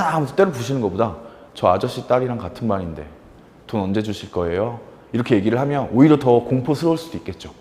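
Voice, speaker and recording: A man's voice: mid-range voice, darkish tone, in a large hall. A man teaching fiction writing in a casual lecture, full of fillers and acted-out example lines.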